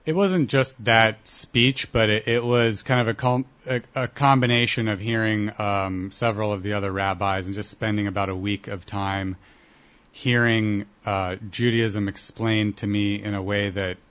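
Young male guest, low voice, halting delivery, muffled lo-fi audio. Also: fairly even intonation, a bit bright. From Columbus, Ohio. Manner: hesitant, many uh fillers. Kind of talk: casual interview answers. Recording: dull lo-fi broadcast audio.

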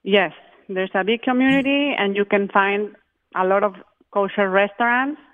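A woman from Mexico with a Mexican Spanish accent, chair of a charity group, speaking carefully with halting restarts. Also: medium pitch. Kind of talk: phone interview. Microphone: phone. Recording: tinny phone line.

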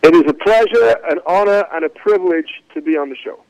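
A man, a rabbi talking about his life as a guest on a casual podcast, reflective and often pausing with "uh, you know.". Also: sits high, creaky, a little mellow, no room sound, low-quality recording. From Manchester, England.

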